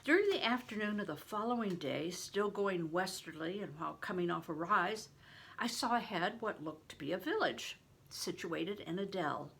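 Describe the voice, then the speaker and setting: An older woman reading a novel aloud in an expressive, deliberate storytelling manner, stressing words for drama. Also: fairly low pitch, gravelly, breathy edge. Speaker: reader, retired reading teacher. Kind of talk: expressive audiobook-style read-aloud of a novel.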